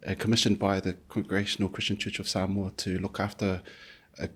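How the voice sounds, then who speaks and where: Husky-voiced reflective man. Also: sits low, little pitch movement, fairly quick, a bit bright. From New Zealand. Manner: thoughtful, many fillers and restarts. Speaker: physiotherapist guest. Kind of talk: reflective conversational interview.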